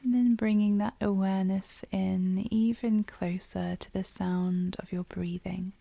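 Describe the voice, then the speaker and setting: A woman leading a guided yoga nidra meditation, speaking softly and soothingly with a breathy voice that often drops near a whisper. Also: mid-range voice, fairly even intonation, no echo. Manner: soothing, gentle, unhurried pauses.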